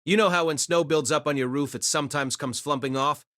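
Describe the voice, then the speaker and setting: Male actor as boy mage narrator, lightly high voice, dry studio sound, wry, self-deprecating, explanatory, audiobook storytelling. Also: a little fast, a bit bright, no echo, natural voice. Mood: patient explaining. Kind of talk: first-person audiobook narration with acted dialogue.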